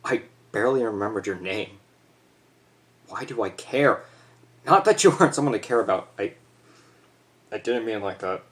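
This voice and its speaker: A young man acting an awkward, self-conscious teenage boy, halting and correcting himself in a stage monologue. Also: lowish voice, expressive, lightly acted. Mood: awkward, regretful. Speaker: stage actor. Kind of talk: theatrical letter monologue.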